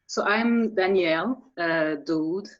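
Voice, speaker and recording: A woman's voice: on the low side, takes it slowly. A woman from France, speaking English as an in a video call interview, hesitant, with many fillers and restarts. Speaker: guest musician. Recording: dull lo-fi audio.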